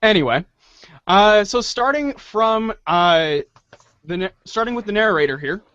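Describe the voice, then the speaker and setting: A man hosting a show in a casual, halting way. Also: sits high, expressive, fairly bright tone, clean tone, dry recording, lo-fi sound. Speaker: host.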